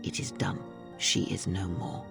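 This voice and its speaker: An older woman playing a bitter, contemptuous old Sith master in a video game, speaking in a theatrical, deliberate, preaching way. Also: rumbling low, gravelly, exaggerated voice. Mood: quiet, bitter. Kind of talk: dramatic video game monologue. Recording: music behind. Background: music.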